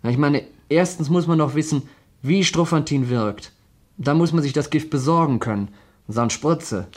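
An actor playing a flippant, eager young amateur sleuth with a playfully grandiose way of talking, in a German radio crime drama. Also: male voice, fairly high pitch, normal speed, natural voice. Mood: earnest, eager. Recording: faint background noise.

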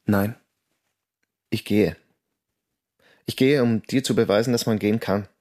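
A man's voice: lowish voice, a little slow, a little mellow, no room sound, studio quality, lightly acted. A man playing a restless, insistent Austrian stage actor in a radio drama, telling his story and arguing. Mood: irritated, insistent. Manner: insistent, probing. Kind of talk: radio drama narration and dialogue.

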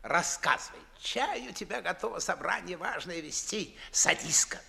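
A man's voice: sits high, animated, moderate pace, vocal fry, pushed and bright, exaggerated voice. An actor playing an older, fussy, grumbling and suspicious father, blustering theatrically in 1950s Russian radio verse. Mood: surprised, jovial. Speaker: stage actor.

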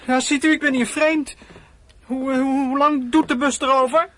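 A man's voice: very high voice, fairly even intonation, normal speed, a bit bright, a little hiss or hum. A male performer in a radio drama playing a naive, frightened young boy who stammers and hesitates. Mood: uneasy, bluffing. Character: frightened young boy, naive petty accomplice, easily cowed. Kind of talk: Dutch radio drama acting.

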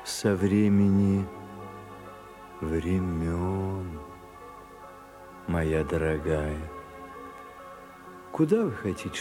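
Radio drama role: languid, melancholy aesthete with dry irony, deepish voice, drawn-out deliberate phrasing, music behind. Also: male voice. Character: Oscar Wilde, weary, witty, melancholy aesthete. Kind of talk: slow theatrical radio drama acting.

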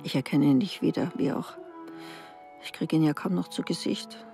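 A woman's voice: deep voice, normal speed, mellow, soft background music. A woman playing a worried, estranged wife in a German radio drama monologue, resigned and reflective. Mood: resigned, lonely. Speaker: actress in a radio play.

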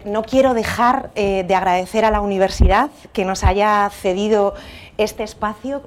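Woman presenting at a conference. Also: medium pitch. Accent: Castilian Spanish. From Spain. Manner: warm, many restarts and fillers, rhetorical questions to the audience. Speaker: keynote presenter. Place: university auditorium.

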